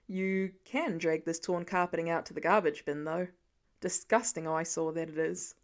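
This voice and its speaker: A woman voicing a gentle, wry, fatherly man in a story. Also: on the low side, steady tone, moderate pace, pitched below the performer's own voice, lightly acted. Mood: fatherly, easygoing.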